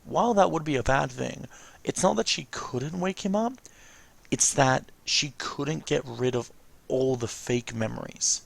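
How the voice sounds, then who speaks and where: Male let's-watch host: medium pitch, noticeable fry, informal self-correcting commentary over soft music. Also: a little slow. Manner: rambling, self-correcting, exclamatory. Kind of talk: casual reaction commentary, reading text aloud.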